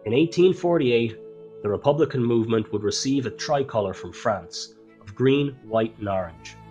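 An Irish man narrates history like a storyteller, measured and solemn, leaning into pauses. His voice sits at an ordinary middle pitch. Quiet music plays underneath. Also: expressive, dull audio. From Ireland.

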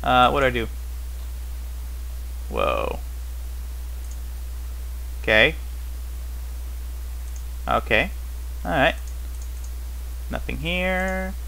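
A man's voice: medium pitch, animated, drawn-out words, vocal fry, long pauses, sharp tone, poor audio. A man giving casual, unscripted gameplay commentary as host, rambling and thinking aloud with wry, puzzled questions to himself. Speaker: host of a gaming series.

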